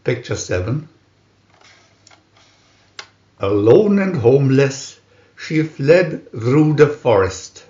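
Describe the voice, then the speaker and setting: A man narrates a storybook at an extremely slow, deliberate pace. His mid-pitched voice moves in lively swings between measured pauses. The recording is roomy and somewhat muffled. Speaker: storybook reader. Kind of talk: scripted storybook narration, reading picture-reel captions. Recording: roomy, dull lo-fi sound.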